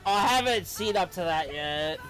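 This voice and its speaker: A man's voice: high voice, animated, normal speed, fairly bright tone. A man giving casual live gameplay commentary as a streamer, chatty and reactive. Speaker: game streamer. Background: game music.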